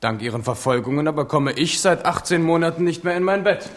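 A man's voice: a little high, expressive, a little fast, lightly acted. An actor playing a self-assured, spirited young king in a German radio drama, courteous and earnest in his speech.

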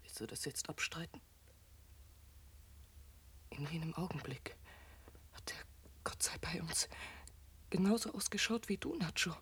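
A woman's voice: on the low side, lively intonation, measured pace, long pauses. An actress playing a superstitious, pleading young brothel woman in a German radio drama, intense and theatrical. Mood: hushed, insistent. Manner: intense, theatrical, swinging from whispers to cries. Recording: very noisy radio play recording.

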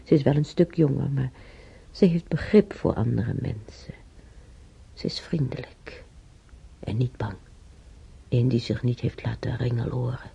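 An actress playing a weary, timid elderly former housemaid in a radio play, with a breathy, husky voice and halting, sighing delivery. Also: female voice, rumbling low, mellow, full character voice. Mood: weary, musing. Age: older. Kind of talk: naturalistic radio drama monologue and dialogue. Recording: dull, muffled radio play recording.

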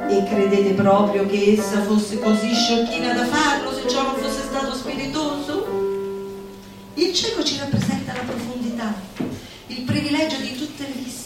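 A woman's voice: high voice, fairly even intonation, moderate pace. A woman gives a theatrical, declamatory and mocking recitation, stretching words for emphasis. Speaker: actress performing a literary monologue. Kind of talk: theatrical recitation of a satirical manifesto. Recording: very echoey room, distant, music behind. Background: music.

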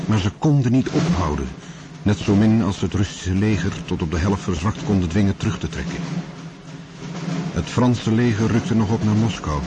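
A man reading scripted literary narration for a Dutch radio drama in a dark, husky voice. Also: deep voice, background noise.

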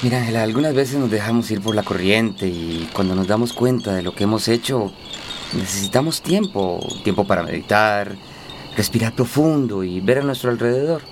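A man's voice: on the low side, lively intonation, moderate pace, lightly acted. A man playing a shy, caring young man who is smitten and hesitant, in a radio soap opera. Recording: noisy, chirping insects and chimes behind.

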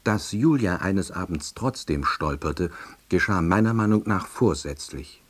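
A self-important, awkward salesman narrates a radio drama in a low, husky voice. His delivery is dry, earnest and full of bureaucratic wordiness. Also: animated, unhurried. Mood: wry, earnest. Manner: dry, wordy, officialese.

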